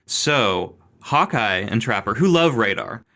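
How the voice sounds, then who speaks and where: Male podcast host, animated storytelling, lively pitch, bright tone. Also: unhurried. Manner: animated storytelling with put-on quotes and laughs. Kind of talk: casual chat.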